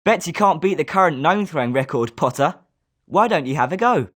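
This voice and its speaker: A confident British schoolboy wizard as acted by a woman, a little low in pitch. The melody is very lively, suited to a sporting, competitive character in a video game. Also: fairly quick. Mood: confident, challenging.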